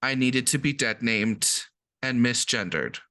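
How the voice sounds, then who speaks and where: A man explains terms in a relaxed podcast conversation, pausing on ums and restarting sentences as he goes. His voice is bright and edgy, at a middle pitch for a man. The sound is dry and close, with no room echo. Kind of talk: casual podcast chat.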